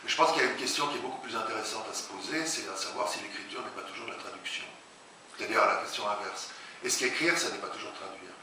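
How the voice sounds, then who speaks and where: Guy musing out loud in a big echoey hall, lowish voice, distant mic with hiss. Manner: halting, searching for words, many restarts. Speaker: translator and writer, interviewee. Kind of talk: public talk interview. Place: large echoey hall, before an audience.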